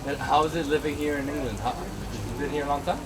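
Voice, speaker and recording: A man from Miami, host of a travel vlog, quickly interviewing people on city streets. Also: on the high side, recorded from a distance, poor audio. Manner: quick, chatty questioning. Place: busy city streets, outdoors. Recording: street noise behind.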